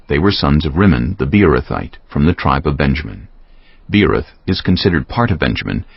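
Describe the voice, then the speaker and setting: A man narrating scripture from a script in a measured, formal storytelling manner. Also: extremely low pitch, gravelly, husky, no echo. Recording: slightly dull audio, faint music.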